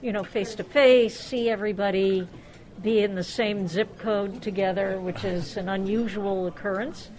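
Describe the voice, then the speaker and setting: A woman's voice: mid-range voice, fairly even intonation, normal speed, a crowd in the background, low-quality recording. A woman hosting an informal gathering in a busy restaurant, chatty and self-deprecating, speaking off the cuff with jokey exclamations. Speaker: luncheon host welcoming club members. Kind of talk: informal, unscripted welcome to a gathering. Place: club luncheon in a restaurant.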